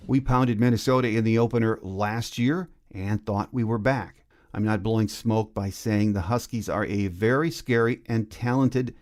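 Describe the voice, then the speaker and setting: A man's voice: fairly low pitch, darkish tone. An American man talking as the host of a sports podcast. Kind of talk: sports talk, scripted intro and interview.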